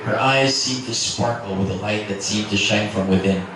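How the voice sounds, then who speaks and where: A man's voice: on the low side, moderate pace. A man giving a dramatic, scripted narration of a romance novel as a reader at a literary event. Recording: echoey, noisy room from far off.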